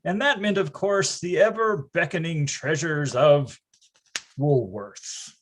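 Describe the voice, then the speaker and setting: A man's voice: fairly high pitch, slow pace, slight background noise. A man playing a grown-up storyteller who wryly recalls his boyhood in a mock-grand, theatrical manner for a readers theatre. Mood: confident.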